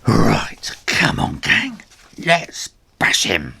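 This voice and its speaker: A man acts out a big bully tomcat from a storybook, loud and full of bluster. The voice is husky and swings wildly in pitch as it bosses everyone about. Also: wildly swooping pitch, extremely slow, full character voice. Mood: bossy.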